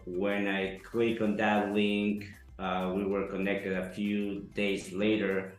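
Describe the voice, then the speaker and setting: A man from Ecuador, giving an earnest, halting testimonial interview full of fillers. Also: on the low side. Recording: echoey room, soft music behind.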